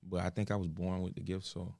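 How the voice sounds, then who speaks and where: Low gravelly laid-back man. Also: monotone, fast talker, mellow, no echo, studio quality. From Pompano Beach, Florida. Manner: laid-back, says "you know what I'm saying" a lot. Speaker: musician guest. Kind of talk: casual chat.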